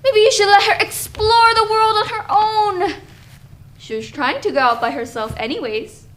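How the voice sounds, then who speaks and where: Woman acting as taunting inner self, very high voice, insinuating, deliberate, stage drama, faint noise. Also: a bit bright. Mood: coaxing, taunting.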